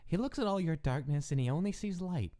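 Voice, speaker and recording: A man playing a loyal, protective skeleton brother in a dramatic comic dub, earnest, challenging and quietly fierce. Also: a little high, expressive, a little fast, natural voice. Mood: defiant, proud. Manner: earnest, accusing delivery.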